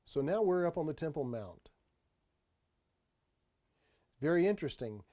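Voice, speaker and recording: A man giving an unscripted tour voice-over, explaining sights in a halting way and often repeating his phrases. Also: fairly high pitch, big pitch swings, warm tone. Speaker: tour narrator. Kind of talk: unscripted travel video voice-over.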